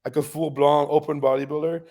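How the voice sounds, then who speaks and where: A man in his thirties with a French accent, a bodybuilder guest telling stories in a relaxed interview, laughing often. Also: fairly high pitch, a little fast. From France. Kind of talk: casual interview storytelling.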